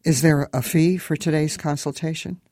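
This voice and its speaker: Narrator voicing a tired, stubborn old widow, plain delivery, dry close sound. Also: male voice, on the high side, fairly even intonation, normal speed, darkish tone, no room sound. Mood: weary. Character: older widowed woman, weary and stubborn.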